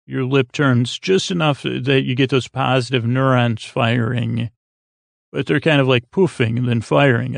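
A man with a gravelly voice hosting a sleep podcast, rambling whimsically and haltingly, often trailing off and circling back. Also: mid-range voice, no room sound. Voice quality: very creaky, gravelly. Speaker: podcast host.

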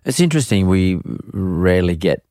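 A middle-aged man hosting an interview podcast, speaking in a measured, deliberate way with restarts and fillers. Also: deep voice, very animated, mellow, clean tone, no room sound, studio quality. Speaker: podcast host. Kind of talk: interview chat with scripted intro.

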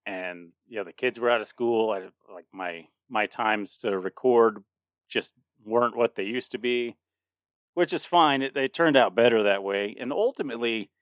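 A man's voice: medium pitch, moderate pace, dry recording. A man in his late thirties co-hosting a podcast in a casual chat, rambling with frequent restarts and laughter. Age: 30s. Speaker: podcast co-host.

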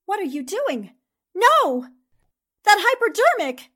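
An actress playing a brave, sharp young newspaper reporter in a 1940s-style radio mystery, speaking in an earnest, theatrical way. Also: female voice, very high-pitched, very animated, slow pace, exaggerated voice. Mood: frightened.

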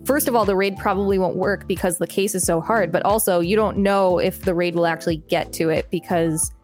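A woman's voice: on the low side, steady tone, fairly quick, a little mellow, soft background music. A woman co-hosting a podcast, reading encyclopedia articles aloud and adding casual commentary.

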